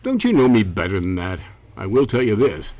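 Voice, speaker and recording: A man's voice: fairly low pitch, huge pitch swings, a little fast, a little mellow. A man playing a sardonic, needling police lieutenant in a campy noir radio play, wry and theatrical. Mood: cagey.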